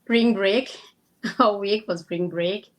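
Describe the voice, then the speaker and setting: A woman's voice: mid-range voice, slow, rough recording. Female interview guest, Cameroonian accent, mid-range voice, conversational delivery. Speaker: interview guest, kindergarten teacher. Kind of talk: casual interview chat.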